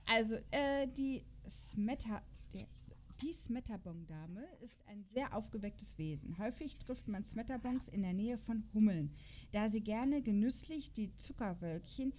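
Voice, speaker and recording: A woman's voice: mid-range voice, animated, measured pace, a little mellow, a little hiss or hum. A woman in a casual podcast chat, playful and giggly, reading character profiles aloud and stumbling over words. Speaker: podcast host.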